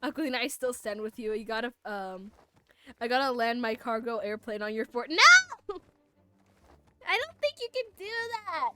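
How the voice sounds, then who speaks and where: A woman's voice: a little high, lively intonation, moderate pace, pauses often, fairly bright tone, slight background noise. A woman livestreaming a game in casual chat, playful and easily flustered, stammering through banter. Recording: music playing behind the voice. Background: music.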